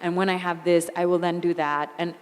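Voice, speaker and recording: A woman in her thirties with a raspy voice, speaking candidly as an interview guest and telling animated stories about her life. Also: lowish voice, brisk. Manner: candid, animated storyteller who laughs easily. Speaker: interview guest, singer and actor. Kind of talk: onstage conversation. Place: event hall. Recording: long hall reverb.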